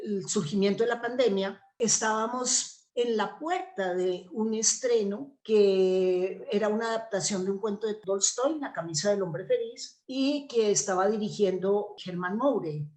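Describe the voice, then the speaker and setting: A woman speaks as an interview guest. Her voice sits at a medium pitch. She explains things at length in a conversational, discursive way. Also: unhurried, few pauses, darkish tone, poor audio. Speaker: interview guest, theatre actress and playwright.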